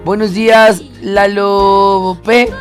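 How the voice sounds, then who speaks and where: A man's voice: high voice, flat delivery, extremely slow, faint music behind. A man co-hosting a radio show, bantering playfully and clowning with mock Italian. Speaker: radio co-host.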